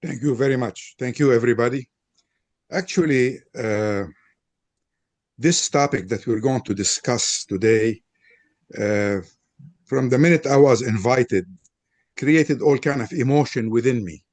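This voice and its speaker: A man's voice: mid-range voice, measured pace, long pauses, a little mellow, dry recording. An older man from Lebanon with a Lebanese accent, Arabic his first language, giving a frank, personal opening statement at an interfaith panel. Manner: earnest, frank, personal storytelling. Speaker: panel presenter.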